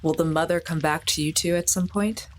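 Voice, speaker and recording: A woman's voice: sits low, steady tone, a little fast. A woman playing a tabletop role-playing game, improvising casually in character and narrating her character's actions.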